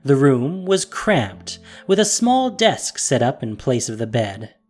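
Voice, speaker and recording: A narrator reading a fantasy audio drama in an expressive storytelling manner. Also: mid-range voice, slow, soft background music.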